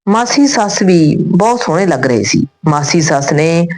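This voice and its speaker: Female storyteller, low register, reads scripted tales and dialogue phrase by phrase. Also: animated, moderate pace, darkish tone, low-quality recording.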